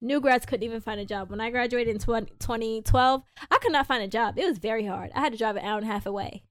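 Animated higher-pitched woman, dry sound. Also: quick pace, dry recording. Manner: animated, acts out quoted people. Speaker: dental hygienist and practice owner, co-host. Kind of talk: casual podcast chat.

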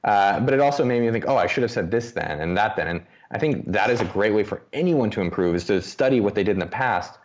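A young man chatting casually as a podcast guest, animated and self-mocking, swearing freely. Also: on the low side, fast, hardly any pauses. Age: young adult. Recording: dull lo-fi audio.

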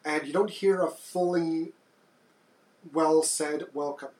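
A man with a deep voice co-hosting a podcast in casual chat, soft-spoken and hesitant, often restarting his sentences. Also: fairly even intonation, takes it slowly, roomy sound, rough recording. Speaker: podcast co-host.

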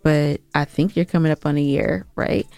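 A woman's voice: low voice, fairly even intonation, moderate pace, vocal fry, a little mellow, dry recording. A woman co-hosting a podcast, chatting casually and informally, with music playing behind her. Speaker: podcast co-host.

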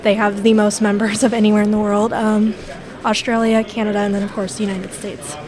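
A woman's voice: medium pitch, little pitch movement, brisk, crowd noise. A woman in her twenties being interviewed, explaining her organization in an informative way with some fillers. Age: 20s. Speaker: youth organization officer. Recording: crowded event hall.

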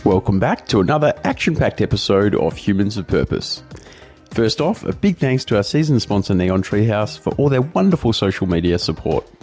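Low-voiced Australian man hosting a podcast. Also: a little mellow. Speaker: podcast host. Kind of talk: scripted podcast introduction and casual interview.

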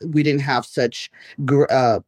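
A woman's voice: very deep voice, fairly even intonation, slow, a little mellow, dry recording. Guest: woman, chatty and rambling, dry close sound. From Belarus. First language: Russian. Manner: chatty, rambling, frequent restarts. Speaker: guest artist. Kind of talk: live-streamed interview.

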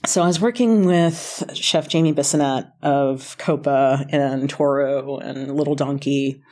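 A woman's voice: extremely low pitch, fairly even intonation, unhurried, a little mellow. An American woman telling stories casually as an interview guest, chatty, informal and self-deprecating. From North Carolina, United States. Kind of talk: casual conversational storytelling.

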